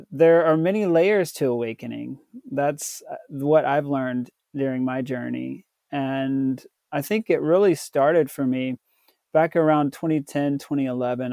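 Slow-talking American man, mellow voice. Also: mid-range voice, dry recording. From southern United States, Tennessee. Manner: halting, pausing often mid-sentence. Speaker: podcast guest. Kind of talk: reflective personal storytelling in an interview.

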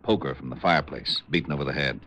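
An actor playing a sarcastic, put-upon homicide lieutenant, grumbling in 1950s radio drama style. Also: male voice, extremely low pitch, quick pace, a bit bright. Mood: matter-of-fact. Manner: sardonic, grumbling. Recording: old radio broadcast recording.

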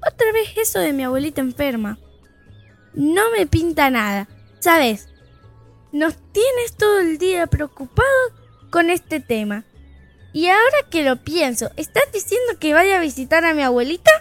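Rebellious little fairy-tale girl, very high voice, fed up, bossy, sassy, comic audio story, faint music behind. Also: sing-song, moderate pace, dry recording, heavily acted. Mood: exasperated. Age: young girl figure. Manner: sassy, impatient, interrupting. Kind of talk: comedic audio fiction acting.

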